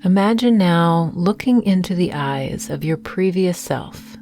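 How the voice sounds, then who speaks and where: A woman with a low voice leads a guided meditation. She speaks very slowly and gently, leaving long pauses between soothing affirmations. Soft music with singing bowls plays faintly behind her. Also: lively intonation.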